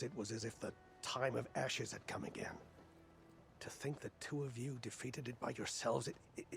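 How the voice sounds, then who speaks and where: An actor playing a formal, grateful leader of a seafaring people in a video game, speaking in awed, dramatic phrases with a gravelly voice. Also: male voice, medium pitch, close to an everyday voice.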